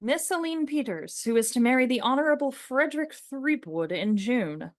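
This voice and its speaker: A woman's voice: sits high, expressive, normal speed, no room sound, studio quality. A woman playing an opinionated, sardonic young man in a radio play, lecturing in an emphatic, mocking way. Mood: sceptical, mocking.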